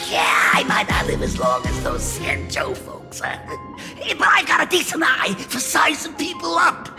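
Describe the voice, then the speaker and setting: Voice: mid-range voice, wildly swooping pitch, a little slow, vocal fry, long reverb tail, music in the background, heavily acted. An actor voicing a boastful, sly and jovial aging gang boss in a video game, in a theatrical manner. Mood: boastful, scheming. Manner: theatrical, chuckling, swinging between mutters and exclamations.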